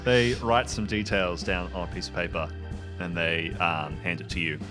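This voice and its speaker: Casting: low-voiced man as game master, casual halting improvised narration, music playing underneath. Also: fairly even intonation. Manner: halting, improvising item descriptions aloud. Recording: music behind the voice.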